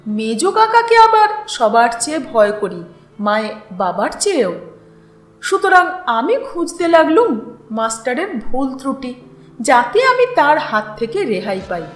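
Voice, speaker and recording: A woman reads a literary story aloud in an expressive, deliberate narrating style. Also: high-pitched. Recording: roomy echo with music behind. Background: music.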